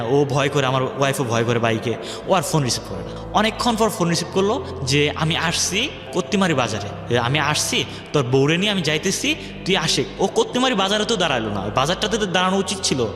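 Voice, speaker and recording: A man's voice: a little high, sing-song, fairly quick. A young man telling his life story on a radio show, rambling and acting out what others said. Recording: echoey hall-like reverb, music underneath. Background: background music.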